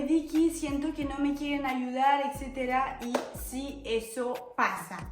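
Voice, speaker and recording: A woman's voice: on the high side, fairly bright tone, roomy sound. A French woman in her twenties, a native French speaker, chatting casually to camera in Spanish with an Argentine accent and playful slang. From France. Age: 20s. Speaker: vlogger.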